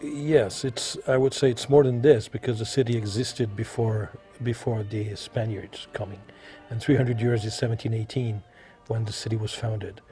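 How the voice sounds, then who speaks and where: Low, mellow Frenchman speaking haltingly. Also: steady tone, faint music behind. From France. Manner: halting, searching for words, repeats himself. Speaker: visual artist being interviewed. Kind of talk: conversational interview answers.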